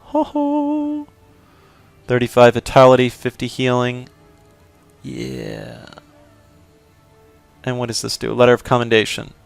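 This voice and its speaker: A young man gives casual commentary while he plays a game, joking and offhand, and now and then he breaks into excitement. His voice is gravelly, with a lively, swinging pitch. The recording is dry, with soft game music behind him. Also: slow, pauses often, no room sound. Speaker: let's play host.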